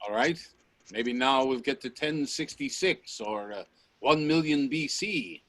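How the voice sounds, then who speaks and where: An actor playing a bold, probing scientist and time traveller in a radio play, speaking in an assertive, professorial way. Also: male voice, medium pitch, measured pace, fairly bright tone, dry recording, natural voice. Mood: matter-of-fact. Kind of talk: radio drama acting. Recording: noisy video-call audio with clicks.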